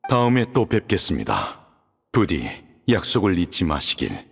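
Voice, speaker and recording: A man voicing a calm, dignified male judge in a video game, speaking in a polite, grave, formal way. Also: low-pitched, normal speed, vocal fry, heavily acted. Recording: reverberant game audio with music.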